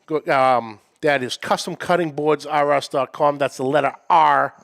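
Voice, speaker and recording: Man, fairly high voice, show host, casual live banter, hyped announcer-style delivery. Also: steady tone, normal speed, creaky, a bit bright. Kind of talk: casual livestream banter and guest introduction.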